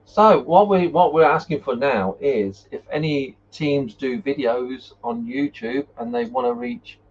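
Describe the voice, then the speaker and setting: A man hosting a casual paranormal live chat and reading out viewer comments, talking in an informal, halting way. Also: fairly high pitch, very animated, gravelly. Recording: muffled, noisy live-stream audio.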